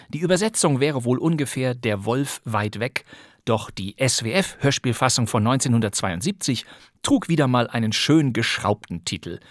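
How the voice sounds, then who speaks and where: A man's voice: medium pitch, no room sound, studio quality. A man presenting as a podcast host, introducing a radio play from a script with articulate, showman-like phrasing.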